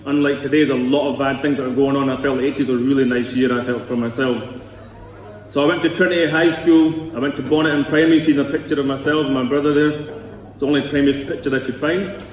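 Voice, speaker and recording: A man's voice: medium pitch, steady tone, fast talker. Earnest Scottish man in echoey hall. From Scotland. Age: 30s. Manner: earnest, rambling, with asides to the audience. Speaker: speaker at a Sikh gurdwara. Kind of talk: personal talk to a congregation. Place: large echoey gurdwara hall. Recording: echoey hall recorded from a distance.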